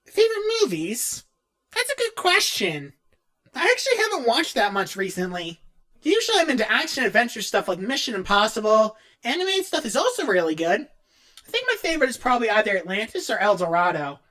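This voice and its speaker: A woman voicing an excitable, awkward, geeky young male cartoon character who rambles and hesitates as he answers fan questions in character. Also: fairly high pitch, a little fast. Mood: casual, musing. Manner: animated, rambling, hesitant, self-interrupting.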